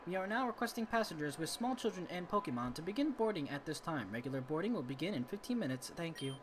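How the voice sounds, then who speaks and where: A man's voice: a little high, expressive, quick pace, background noise, natural voice. A man voicing a courteous, formal airline announcer, reading scripted announcements from a visual novel over music. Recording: game music behind the voice.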